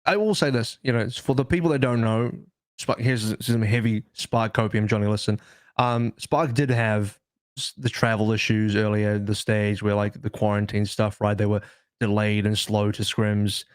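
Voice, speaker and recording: A man's voice: lowish voice, steady tone, normal speed, clear, resonant tone, dry recording. A man giving casual esports analysis as a podcast panelist, insistent and repeating his phrases to press the point.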